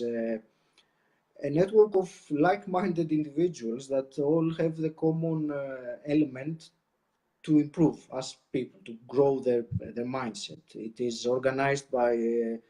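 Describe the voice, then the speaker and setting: Greek man, soft warm voice, hesitant. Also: mid-range voice. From Greece. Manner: measured, many "uh" pauses. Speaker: podcast co-host, guest. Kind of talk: casual interview chat.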